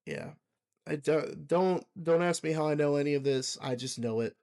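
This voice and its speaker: Hoarse young man, rambling podcast co-host. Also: a little high, moderate pace, darkish tone. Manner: rambling, filler-heavy, laughs often. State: hoarse, recovering from illness. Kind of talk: casual podcast chat.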